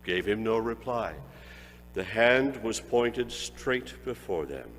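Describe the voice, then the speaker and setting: A man giving a measured, expressive dramatic reading of a classic story, in a reverberant room with a faint hum. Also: lowish voice. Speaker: reader.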